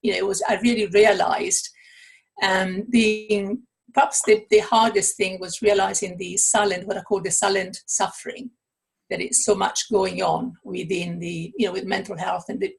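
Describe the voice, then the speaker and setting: Italian-accented woman, gravelly and husky. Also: mid-range voice, steady tone, moderate pace, poor audio. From Italy. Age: middle-aged. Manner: thoughtful, with many fillers and restarts. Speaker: author and coach. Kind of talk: interview answers.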